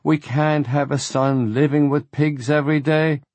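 A man's voice: a little high, fairly even intonation, a little slow, a little mellow, no echo, voice pushed up. A man voicing a distraught older rabbi with a German accent, wailing in exasperation over his son. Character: distraught older male rabbi, anguished father.

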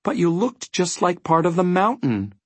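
A man's voice: high-pitched, very animated, moderate pace, dry recording, voice pushed up. A man voicing a polite, earnest boy in a storybook, meek and pleading. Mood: meek, apologetic. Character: polite, earnest, quick-thinking young boy traveler.

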